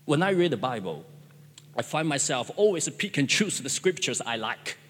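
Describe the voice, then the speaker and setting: A man's voice: mid-range voice, sing-song, normal speed, a bit bright, breathy edge, big-room sound. A man whose first language is Chinese, preaching a sermon as a pastor in an earnest way, repeating phrases with stammering restarts.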